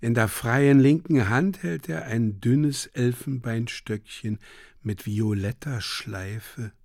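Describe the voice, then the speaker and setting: An actor playing a solemn narrator who reads dreamlike stage directions in a slow, deliberate, measured way for a literary radio drama. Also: male voice, mid-range voice, vocal fry, darkish tone, no echo, studio quality. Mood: solemn, dreamy.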